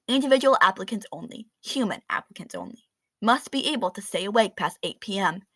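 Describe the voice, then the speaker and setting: Bright, higher-pitched woman reading a storybook. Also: dry recording. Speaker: children's book reader. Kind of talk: expressive picture-book read-aloud.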